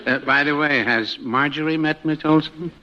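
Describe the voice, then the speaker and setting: A man's voice: on the high side, normal speed, sharp tone. Mild, droll older radio-comedy man. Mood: gently needling. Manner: droll, understated, chuckling. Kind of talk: 1950s radio situation comedy acting. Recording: dull, faintly noisy 1950s radio recording.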